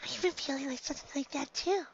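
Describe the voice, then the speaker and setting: Shy winged mare voiced by a storybook reader: very high, quiet, hesitant. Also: quick pace, lo-fi sound, slight background noise, lightly acted. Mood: nervous. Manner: soft, hesitant, high-pitched. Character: timid, gentle young female winged pony.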